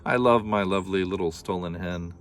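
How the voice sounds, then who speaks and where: A man voicing a huge, bellowing, man-eating male giant with a booming, gloating chant. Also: a little fast, a little hiss or hum, lightly acted.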